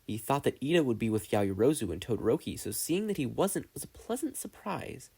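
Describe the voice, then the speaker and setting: A man reading a story aloud as an expressive amateur narrator. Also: mid-range voice, brisk, creaky, breathy edge.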